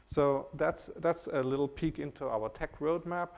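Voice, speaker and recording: A man from Austria with a German accent, presenting a technical talk at a conference in a halting way, with many hesitations and restarts. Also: a little high, steady tone, gravelly, a little mellow.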